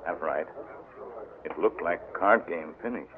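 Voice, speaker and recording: An actor playing a loyal frontier sidekick who speaks in clipped broken English, in a 1940s radio drama. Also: male voice, low-pitched, unhurried. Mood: terse. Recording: tinny old radio broadcast with hiss.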